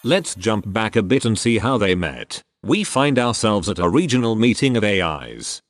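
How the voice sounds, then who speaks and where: A low, expressive male voice of an actor as an AI guide, with music underneath. He is composed and measured, telling his story with wry understatement. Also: lightly acted. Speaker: narrator. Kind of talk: scripted storytelling narration.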